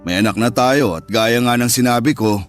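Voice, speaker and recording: Man playing jealous rich boyfriend, possessive, commanding, mid-pitched voice, radio drama, faint music behind. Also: moderate pace, natural voice. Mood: generous, firm. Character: jealous, controlling, moneyed boyfriend and expectant father. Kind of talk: Tagalog radio drama acting.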